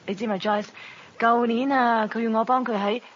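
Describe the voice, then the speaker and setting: A woman's voice: fairly high pitch, steady tone, measured pace, muffled recording. An actress playing a poised, possessive career woman, sugary and subtly condescending, in a Hong Kong Cantonese radio drama. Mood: sweetly showing off.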